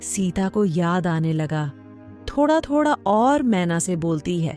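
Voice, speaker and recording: Slow, lowish-voiced woman telling a story. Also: animated, dark tone, soft background music. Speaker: story narrator. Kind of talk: scripted children's story narration.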